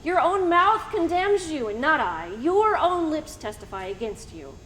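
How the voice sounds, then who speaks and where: A woman's voice: high-pitched, sing-song, measured pace, fairly bright tone, slight background noise, exaggerated voice. A woman playing a reproachful, accusing friend in an amateur church Bible drama, scolding and declaiming.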